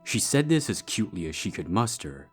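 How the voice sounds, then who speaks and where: A man's voice: lowish voice, big pitch swings, brisk, breathy edge. A man giving a scripted narration of a story as its narrator. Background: background music.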